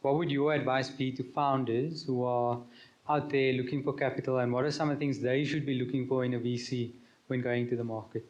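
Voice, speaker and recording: A man's voice: medium pitch, little pitch movement, brisk, darkish tone, lo-fi sound. Guy moderating a panel, casual, mid voice. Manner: casual, apologetic, question-asking.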